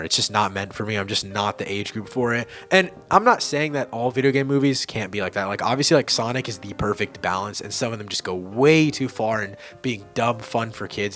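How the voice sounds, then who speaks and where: A man's voice: on the low side, a bit bright. A man hosting a casual ranking video, giving blunt opinions in informal, slangy language over background music. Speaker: video host and amateur film reviewer.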